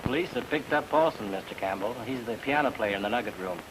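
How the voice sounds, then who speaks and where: A noisy old radio recording of a businesslike informant, acted by a man. His medium-pitched voice delivers a report in classic detective drama style. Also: lively intonation, fast, natural voice.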